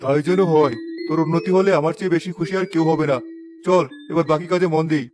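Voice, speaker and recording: Man voicing a patient, principled weaver father, quick-talking. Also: fairly high pitch, steady tone, music in the background, close to an everyday voice. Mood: warm, welcoming. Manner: admonishing, polite, measured advice-giving. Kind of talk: moral-story cartoon dialogue.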